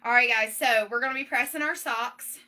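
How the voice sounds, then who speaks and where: A middle-aged woman with a Southern US accent talks loudly and quickly as she hosts a live demo. Her voice sits a little high and sounds very bright and sharp. She keeps checking in with plenty of ums and okays. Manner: loud, fast and chatty, with many ums and okays. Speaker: shop owner hosting a live demo. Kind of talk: casual live product demonstration.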